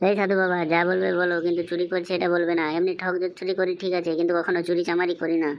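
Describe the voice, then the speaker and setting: A woman's voice: on the low side, monotone, very fast, natural voice. A woman voicing a hapless, pleading young male swindler in a Bengali comedy cartoon. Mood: cheeky, protesting. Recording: dull lo-fi, very dry cartoon dub.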